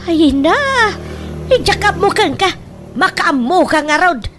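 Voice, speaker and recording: Loud, very shrill scolding mother acted by a woman. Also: animated, unhurried, slight background noise. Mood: exasperated. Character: scolding, forceful mother of a grown daughter. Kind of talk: Ilocano comedy radio drama acting.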